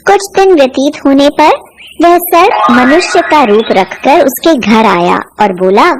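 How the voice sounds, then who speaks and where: A woman's voice: sits high, lively intonation, unhurried, music in the background. A woman narrating a scripted cartoon folk tale as a storyteller.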